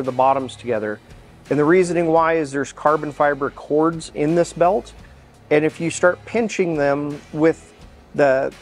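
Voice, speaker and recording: A middle-aged man with a North American accent gives informal, step-by-step hands-on instruction. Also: a little high. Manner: explanatory, step-by-step. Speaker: mechanic instructor. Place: large indoor workshop.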